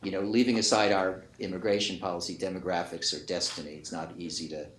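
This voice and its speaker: Very deep-voiced man in echoey room. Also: fairly even intonation, quick pace, a bit bright. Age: 50s or 60s. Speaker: economist on a panel. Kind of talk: unscripted panel discussion remarks. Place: conference panel before an audience. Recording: echoey room with faint noise.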